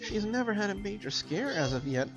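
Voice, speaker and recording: Man putting on a high, flirty lady doctor. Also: sing-song, a little fast, creaky, lo-fi sound, over music, exaggerated voice. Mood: concerned. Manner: coy, breezy, teasing.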